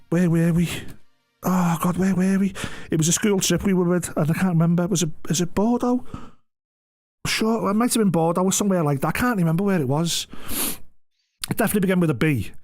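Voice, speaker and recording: A man's voice: sits high, a little mellow. A man with an English accent chatting casually on a stream, telling rambling, sweary anecdotes. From England. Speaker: game live streamer. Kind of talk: casual chat, animated anecdotes. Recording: music behind the voice. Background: music.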